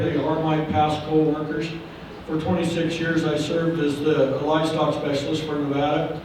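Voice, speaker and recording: A man's voice: on the high side, background noise. An older man speaking as a panelist at a conference, earnest and courteous in his remarks. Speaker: panelist, cattle association representative. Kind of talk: formal panel introduction. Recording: echoey hall, far from the mic.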